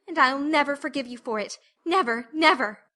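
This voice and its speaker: A woman voicing a fiery, passionate red-haired orphan girl, shouting in choked fury. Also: high voice, normal speed, voice pushed up. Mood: indignant.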